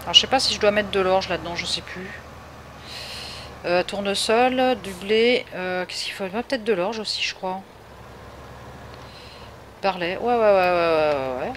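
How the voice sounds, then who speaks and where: A woman's voice: medium pitch, moderate pace, long pauses, fairly bright tone, slight background noise. Chatty Parisian woman streaming a farm game. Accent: Parisian French. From Paris, France. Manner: chatty, answers chat viewers, laughs often. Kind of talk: casual live gameplay commentary.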